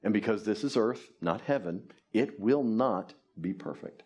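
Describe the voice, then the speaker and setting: A man's voice: fairly low pitch, expressive, measured pace, a little mellow, slightly rough. A man in his fifties, a pastor preaching earnestly from a lectern on a church stage. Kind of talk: sermon. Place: church stage before a congregation.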